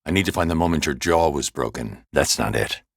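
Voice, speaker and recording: A grim, brooding vigilante detective for a game, with a voice that sits extremely low and rumbles. He talks in a clipped, methodical way, recorded close and dry in a studio. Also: lively intonation, fairly quick, dry recording, heavily acted. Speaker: video game character. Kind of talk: game voice acting. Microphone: close studio mic.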